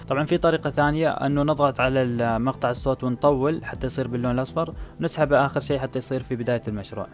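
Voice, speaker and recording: A man's voice: mid-range voice, a little mellow. A man giving a step-by-step screen tutorial, with frequent "aah" fillers. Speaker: video editing tutor. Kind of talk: screen-recorded app tutorial.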